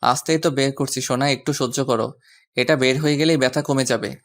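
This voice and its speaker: A man's voice: medium pitch, fairly even intonation, a little fast, natural voice. A man playing a doting young lover who coaxes and teases affectionately in a romantic audio story. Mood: soothing. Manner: coaxing, affectionate, apologetic. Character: doting, teasing young man soothing his sweetheart.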